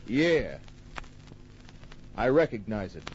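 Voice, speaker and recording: An actor playing a tough, wry private detective in an old radio crime drama, laconic and dry, heard on an old muffled recording. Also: male voice, lowish voice, big pitch swings, slow pace, a little mellow, slight background noise. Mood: cool, laconic. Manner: laconic, dry, hard-boiled.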